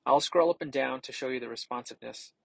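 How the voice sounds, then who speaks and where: A man with a creaky, gravelly voice and a husky edge walks through a product demo. He speaks at a middle pitch in a casual, explanatory way, like a tech reviewer reading his own script. The sound is close and dry. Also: fast, no echo.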